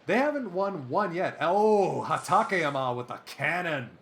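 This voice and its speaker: A man doing play-by-play commentary over a baseball sim game, excitable and chatty, drifting into asides. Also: on the high side, unhurried. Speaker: let's play host.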